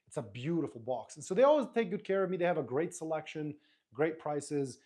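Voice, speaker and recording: A man whose first language is Arabic, hosting a live stream in a relaxed, rambling way, reading viewer comments aloud and answering them. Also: on the high side, a little fast. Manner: relaxed, rambling, frequent restarts and fillers.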